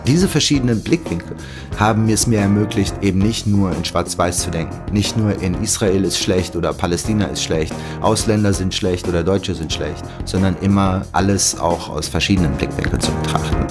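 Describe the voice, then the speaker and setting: A man in his forties from Germany, telling his life story as an interview guest in a thoughtful way, with pauses and fillers. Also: low-pitched, over music. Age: 40s. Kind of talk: reflective storytelling.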